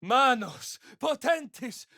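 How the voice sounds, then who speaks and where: An actor playing a fantasy adventurer in a video game, calling out spell incantations in forceful, declamatory, raspy bursts. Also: male voice, very high voice, big pitch swings, slow, no room sound, clean studio sound, full character voice. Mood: commanding, fierce. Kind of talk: video game combat voice lines.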